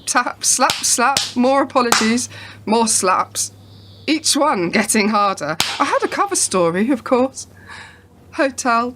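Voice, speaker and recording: A woman playing a bitter, wary, traumatised spy in a radio play, speaking in halting, clipped phrases. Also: fairly high pitch. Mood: haunted, controlled. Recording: very noisy local radio play recording.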